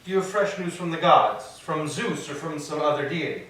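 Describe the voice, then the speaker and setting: A man's voice: fairly high pitch, moderate pace, fairly bright tone, close to an everyday voice. A man playing a grand, commanding sea god, speaking formally in a staged reading of Greek tragedy. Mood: formal, questioning. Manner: formal, declamatory. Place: echoing church interior. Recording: very echoey hall, distant mic.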